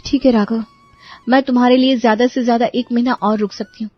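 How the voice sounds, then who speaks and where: Woman playing an earnest high-voiced young girlfriend. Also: steady tone, brisk, no echo, dull audio, faint music behind, lightly acted. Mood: earnest, worried. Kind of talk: Hindi cartoon story voice acting.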